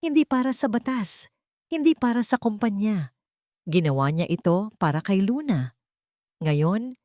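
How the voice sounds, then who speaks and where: A woman's voice: medium pitch, a little mellow, dry recording, studio quality. A woman with a Filipino accent reading scripted, dramatic story narration for an audio drama.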